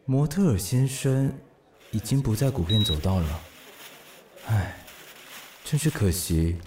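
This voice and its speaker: A man's voice: on the low side, animated, a little slow, background noise. An actor playing a good-natured, easily smitten widowed single father in a radio drama, musing to himself expressively. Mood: wistful, dreamy. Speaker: voice actor, lead. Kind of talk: radio drama acting with inner monologue.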